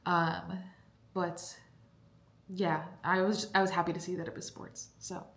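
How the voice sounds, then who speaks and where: A woman's voice: on the low side, little pitch movement, measured pace. Chatty young woman, congested, echoey room. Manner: chatty, rambling with many fillers. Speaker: book vlogger. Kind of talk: casual vlog chat. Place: cold basement room at home.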